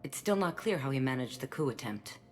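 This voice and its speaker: A woman's voice: extremely low pitch, expressive, brisk, a little hiss or hum. A woman voicing a dignified, guarded alien stateswoman in a video game, speaking in a formal, diplomatic way. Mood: grave, formal. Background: music.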